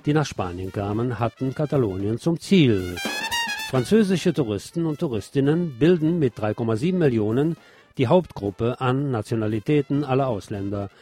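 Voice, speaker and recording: A man presents scripted news in a mellow, relaxed voice at a middle pitch. Faint music runs beneath him as he moves through reports and pointed commentary. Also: moderate pace.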